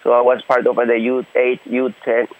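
A young man from Honduras talks through a radio interview, pausing and restarting as he searches for the right words. His voice comes through a narrow, tinny phone-line band. His pitch sits in an ordinary middle range. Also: little pitch movement. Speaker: guest footballer.

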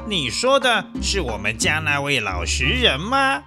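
A man's voice: on the high side, huge pitch swings, a little slow, over music. Guy as a sly teasing prince, really swoopy voice, drawn out, kids radio play. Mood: teasing, scornful. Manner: exaggerated, teasing. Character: sly, scornful young second prince.

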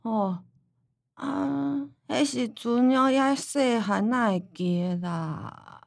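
A grumbling old grandma. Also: female voice, on the high side, gravelly, no echo, studio quality, exaggerated voice. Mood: reminiscing. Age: older. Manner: grumbling, scolding, drawn-out. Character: teasing old grandmother with fading memory. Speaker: voice actor. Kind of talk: radio drama acting.

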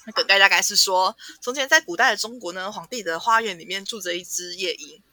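A woman with a Taiwanese Mandarin accent hosting a podcast, casually retelling a story with animated, playful asides. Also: medium pitch, fast, no room sound. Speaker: podcast host. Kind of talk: casual storytelling and book chat.

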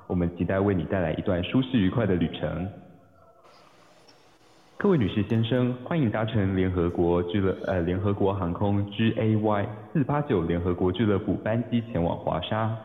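A man's voice: deep voice, lightly acted. A man playing a formal, courteous airline announcer in a radio drama, with dull, echoey sound.

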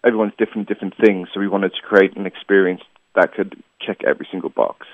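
A man's voice: low voice, quick pace, no room sound. A man in his thirties, a tour company founder, answering interview questions earnestly, rambling with many fillers and restarts. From Asia (Hong Kong, Taiwan, Malaysia). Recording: dry tinny phone-like line.

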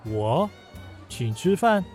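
A man's voice: a little high, very animated, takes it slowly, darkish tone, soft background music. A man voicing a cool, spiteful young male general manager who speaks curtly. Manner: curt and dry. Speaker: voice actor in an audio drama. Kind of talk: modern audio drama acting.

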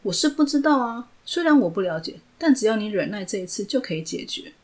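A woman's voice: medium pitch, sing-song, quick pace, darkish tone, lightly acted. A woman voicing a cool, blunt teenage girl genius who prods with questions. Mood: prodding.

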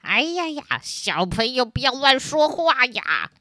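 A woman's voice: high voice, big pitch swings, unhurried, bright, forceful tone, no echo, studio quality, pitched above the performer's own voice, heavily acted. A woman voicing a shifty swindler posing as a tailor, hesitant and evasive, dragging out words. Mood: wheedling.

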